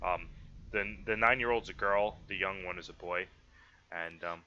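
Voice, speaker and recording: Bright, low-voiced man running a tabletop game. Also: moderate pace, dull audio. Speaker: game master. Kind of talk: improvised tabletop game narration.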